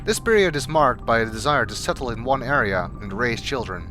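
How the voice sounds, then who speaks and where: A man delivers scripted, encyclopedia-style narration for a video game codex. His voice sits at a medium pitch and he reads in a measured, explanatory way. Music plays clearly behind him throughout. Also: lively intonation. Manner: measured, expository reading. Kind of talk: scripted narration, read aloud. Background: clear background music.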